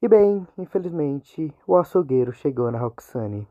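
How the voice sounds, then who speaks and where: A man's voice: a little high, lively intonation, a little slow. A man with a Brazilian accent narrating a horror game explainer video in an informal, conversational way. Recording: muffled, narrow phone-like sound.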